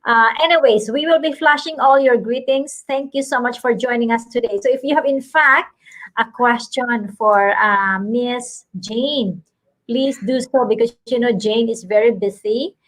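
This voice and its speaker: A woman's voice: on the high side, a little slow, fairly bright tone, low-quality recording. A Filipina woman with a Filipino accent hosting a casual online talk show, chatty and gushing as she greets viewers. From Philippines.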